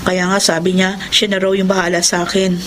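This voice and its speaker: A woman's voice: medium pitch, little pitch movement, fairly quick, noisy background, natural voice. An actress playing a worried, persuasive young wife and mother in a radio drama, pleading and insistent. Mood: earnest, persuasive. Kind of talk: Tagalog radio drama acting.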